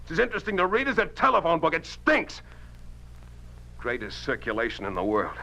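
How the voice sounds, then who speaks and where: An actor playing a principled, outspoken newspaper editor in a 1950s radio drama, with an emphatic, oratorical American delivery. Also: male voice, on the high side, huge pitch swings, fairly quick, vocal fry, sharp tone, heavily acted. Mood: bitter, impassioned. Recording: dull lo-fi 1950s radio recording.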